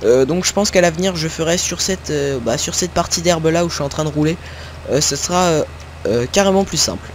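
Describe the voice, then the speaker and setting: A young man from northern France chats through his gameplay, circling back, correcting himself and filling pauses as he goes. His voice sits a little higher than most men's. Faint tractor and farm sounds from the game hum underneath. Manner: rambling, many fillers and self-corrections, talks to viewers while playing. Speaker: gaming video creator. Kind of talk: casual gameplay commentary. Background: faint game sounds of tractors and farm ambience.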